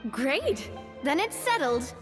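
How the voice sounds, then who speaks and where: An upbeat, generous woman organizer from a video game. Her high voice is very lively in pitch and rings with reverb over faint music. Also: slow pace, full character voice.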